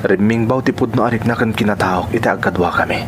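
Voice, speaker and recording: A man's voice: lowish voice, fast talker, background noise, lightly acted. A man playing a secretly lovelorn friend who poses as sassy and effeminate in a radio drama, theatrical, with a Filipino accent. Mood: troubled, confessional. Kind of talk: Ilocano radio drama acting.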